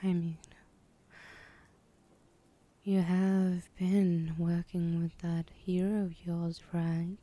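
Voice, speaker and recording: A woman's voice: on the low side, little pitch movement, extremely slow, pauses often, dark tone. A woman playing a teasing, menacing female villain in an ASMR roleplay, whispering breathily with a taunting, condescending manner. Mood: teasing, smug.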